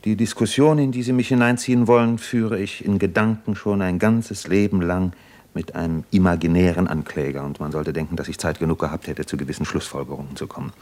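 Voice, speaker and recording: A proud, weary doctor and writer played by a man in a 1950s German radio drama. His voice is clearly low, and he talks in an earnest, contemplative, educated way. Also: fairly even intonation, fairly quick, a little mellow, lightly acted. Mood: defensive, proud.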